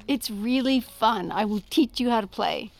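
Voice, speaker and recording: A woman playing an anxious, coaxing, protective mother in an audio drama, often dropping to a hushed tone. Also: fairly high pitch, moderate pace, vocal fry, slight background noise. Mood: coaxing, cheerful.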